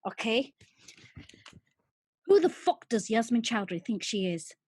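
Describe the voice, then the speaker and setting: Woman doing a brash, foul-mouthed female alter with a husky, swooping voice. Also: on the high side, big pitch swings, unhurried, darkish tone, heavily acted. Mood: furious ranting. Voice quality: swearing, brash. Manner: ranting, mocking. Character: coarse, furious female alter called the ugly one. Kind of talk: solo stage monologue.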